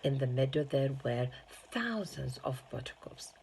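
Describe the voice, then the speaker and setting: A woman narrating a children's storybook, halting and sometimes stumbling over long words. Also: extremely low pitch, expressive, gravelly, darkish tone, slightly rough. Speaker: storybook reader, teaching assistant.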